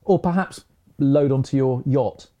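A man's voice: medium pitch, a little slow, warm tone. A man hosting a casual podcast chat, joking at his own expense and chuckling often. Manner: jokey, self-mocking, chuckles often. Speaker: podcast host.

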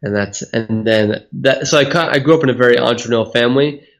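A man's voice: medium pitch, fast talker. A man from Hawaii, a speaking coach, giving earnest, reflective interview answers that explain his ideas at length. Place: Australia.

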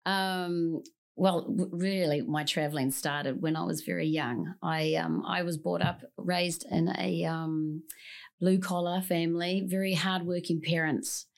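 A woman's voice: lowish voice, vocal fry. A woman with a New Zealand accent, a singer-songwriter guest telling her story in an effusive, rambling way in a podcast studio. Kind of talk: casual interview chat.

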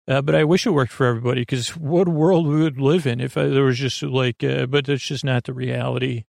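Male podcast host, gravelly creaky voice, middle pitch, meandering sleep-aid monologue, hesitant and repetitive, dry close recording. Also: fairly even intonation, fairly quick, darkish tone, no echo.

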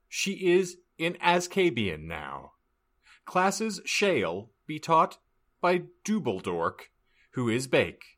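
A man's voice: a little high, fairly bright tone, pitched above the performer's own voice, exaggerated voice. A man voicing a pompous school official declaiming a notice in a comic story reading.

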